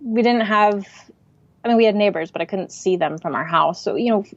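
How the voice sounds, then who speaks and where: A woman's voice: mid-range voice, brisk, vocal fry, no room sound, highs cut off. A woman from the Pacific Northwest who grew up speaking Swedish at home, talking reflectively as a podcast guest, with frequent fillers. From Pacific Northwest, United States. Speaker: podcast guest, writer and artist. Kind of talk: casual interview conversation.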